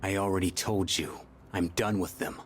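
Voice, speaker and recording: A man's voice: low-pitched, moderate pace, a little hiss or hum, lightly acted. A man playing a surly, aloof young tough with a curt, dismissive manner in an anime game. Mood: irritated. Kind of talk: anime game dub acting.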